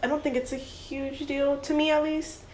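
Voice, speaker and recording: A woman's voice: high voice, little pitch movement, moderate pace. A woman being interviewed, chatting casually and conversationally with lots of filler likes. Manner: uses "like" constantly, conversational. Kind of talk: casual chat. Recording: echoey room with faint noise.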